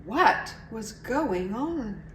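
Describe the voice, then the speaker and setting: A woman's voice: on the high side, very animated, drawn-out words, distant mic. A middle-aged woman reading a children's story aloud expressively, as a storyteller. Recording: echoey room, faint background noise.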